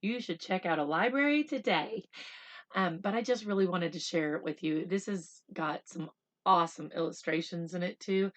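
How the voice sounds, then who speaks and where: A woman reading a picture book aloud like a teacher, slow and expressive in the story, chattier when she stops to explain words simply for children. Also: fairly low pitch. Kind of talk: read-aloud storytelling with casual explanatory asides.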